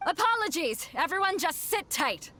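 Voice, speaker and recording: A woman voicing a brisk, businesslike tournament official in a video game. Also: extremely high pitch, big pitch swings, pushed and bright, full character voice. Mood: flustered announcing. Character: brisk female tournament official addressing spectators. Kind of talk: video game character acting.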